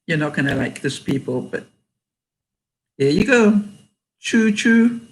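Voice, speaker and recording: An older woman with a Canadian accent and a hoarse, fading voice reads jokes aloud in a casual vlog and laughs often at them. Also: fairly low pitch, very animated, a little slow, poor audio. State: hoarse, losing her voice. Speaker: vlogger.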